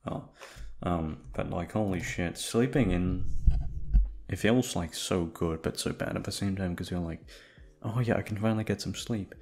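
A man with a deep voice, sleepy, rambling casually to his listeners in an offhand, hesitant, sweary way. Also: normal speed, a little mellow, slight background noise. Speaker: audio creator talking to listeners.

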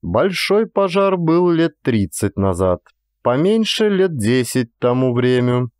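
Guy reading an audiobook, measured storyteller, lively pitch moves, very dry sound. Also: clear, resonant tone, no room sound. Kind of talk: literary audiobook narration.

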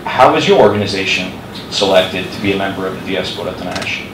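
A man from the United States asks a long question from the floor, hedging carefully with many fillers. His voice is very low. He sounds far from the mic in a reverberant hall with a clear hum. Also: animated, brisk. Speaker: audience member asking a question. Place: university lecture hall. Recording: distant echoey hall audio with hum.

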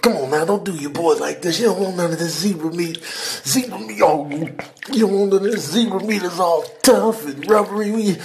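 A man's voice: sits high, fairly quick. A man voicing a stressed zebra who pleads repetitively and streetwise with a predator in a comedy animal dub. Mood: pleading.

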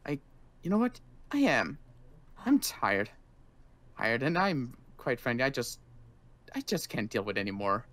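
A man's voice: mid-range voice, big pitch swings, measured pace, long pauses, a bit bright. Man playing a weary, grudging apocalypse survivor. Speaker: roleplay player. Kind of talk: improvised game roleplay.

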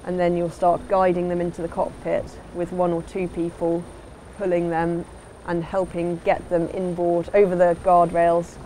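A woman's voice: on the low side, monotone, normal speed, few pauses, dark tone, a little hiss or hum. A woman giving an instructional voice-over as a sailing instructor, in a plain, explanatory way.

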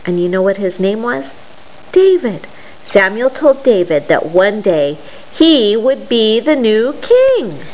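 Middle-aged female children's storyteller, very expressive delivery, simple questions and pauses for little listeners; roomy home recording. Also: mid-range voice. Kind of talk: storytime talk and read-aloud for young children. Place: living room at home, indoors. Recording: roomy, dull sound with faint noise.

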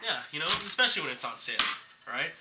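A man's voice: on the high side, very animated, rapid-fire. A man in his twenties chatting casually as he demonstrates cooking as a chef in a home kitchen. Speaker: chef hosting a cooking show. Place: small home kitchen, indoors. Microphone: camera a few steps away. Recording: echoey noisy kitchen, distant camera microphone.

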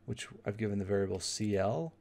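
Low, gravelly-voiced man talking through a tutorial. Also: expressive, a little mellow. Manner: casual, halting step-by-step explaining. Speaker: software tutorial instructor. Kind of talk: informal unscripted screencast tutorial, thinking aloud. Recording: noisy room with keyboard clicks. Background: typing and mouse clicks.

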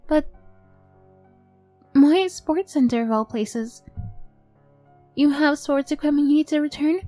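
A woman's voice: high voice, dark tone, dry recording, pitched above the performer's own voice. A woman playing an affectionate young girlfriend, a sweet but tough-edged former bully, in an ASMR roleplay.

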